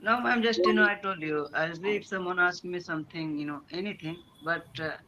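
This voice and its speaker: A man from India, a Hindi speaker with an Indian English accent, practicing spoken English haltingly with many fillers and a polite manner. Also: sits high, bright, forceful tone, lo-fi sound, slight background noise. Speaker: English learner. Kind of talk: hesitant student conversation practice.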